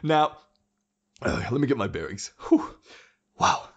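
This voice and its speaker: A man's voice: medium pitch, sing-song, measured pace. Man playing a theatrical, swooping young tyrant. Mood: mock delight. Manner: theatrical, mock-friendly, sarcastic. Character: gleefully menacing, mocking young male tyrant president. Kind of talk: audio drama acting.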